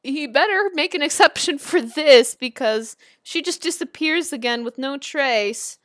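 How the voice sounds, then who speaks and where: High voiced girl, woman reading a story out loud w giggly casual commentary, really dry close sound. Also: unhurried, dry recording. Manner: giggly, animated asides. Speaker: video creator reading and reacting to fan fiction. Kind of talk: expressive story reading with casual, amused reactions.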